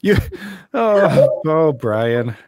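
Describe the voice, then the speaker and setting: Animated joking man in his thirties. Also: a little high, very slow, no echo, studio quality. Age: 30s. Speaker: podcast host. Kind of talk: casual chat. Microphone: studio mic on arm.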